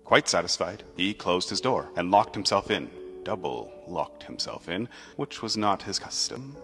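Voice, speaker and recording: Deep-voiced, theatrical man narrating a tale. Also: lively intonation, vocal fry, a bit bright. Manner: theatrical, measured, emphatic storytelling. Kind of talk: dramatic narrated reading of a classic Christmas tale. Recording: long reverb, music underneath.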